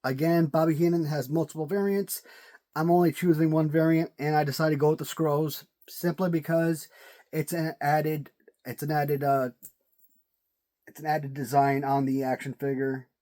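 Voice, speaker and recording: A man's voice: a little high, flat delivery, normal speed, warm tone. A man giving casual video commentary on his action figures, chatty and prone to restarting words, his voice sore and cracking. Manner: chatty, repeats words while thinking. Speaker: toy collector reviewing action figures.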